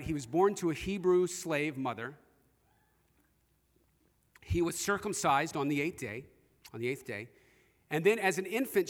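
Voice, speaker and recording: Male preacher, high bright voice, conversational sermon storytelling, direct address to listeners, long hall reverb. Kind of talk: sermon with storytelling and scripture reading.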